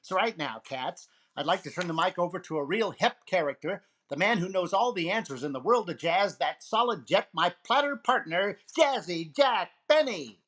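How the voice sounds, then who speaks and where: A man's voice: sits high, expressive, normal speed, few pauses, fairly bright tone. A man playing a brash, wisecracking comedian as a jive-talking disc jockey in 1940s radio comedy style, with showy, punchy patter. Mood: upbeat, showy.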